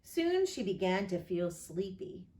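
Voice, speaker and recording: A woman reading a picture book aloud as an expressive storyteller for children. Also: medium pitch, a little slow. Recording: echoey room.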